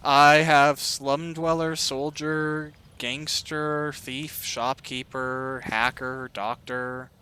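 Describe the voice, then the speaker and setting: A man's voice: medium pitch, little pitch movement, extremely slow, hardly any pauses, pushed and bright, smooth. A man chatting casually and easygoingly as an interviewer while playing a video game, rambling a little as he goes. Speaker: interviewer hosting a cast interview.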